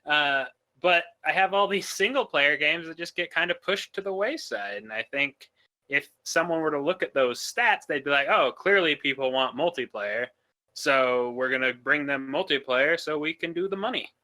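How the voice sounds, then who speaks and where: A man's voice: a little high, lively intonation, normal speed, bright, forceful tone. A man chatting casually as a podcast guest, wry and joking with a taste for exaggeration. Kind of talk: casual chat.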